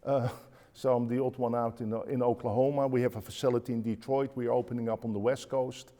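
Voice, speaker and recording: A man's voice: mid-range voice, flat delivery, a little fast, warm tone, in a large hall. A man from the Netherlands with a Dutch accent, speaking on a conference panel, hesitant with many fillers and restarts.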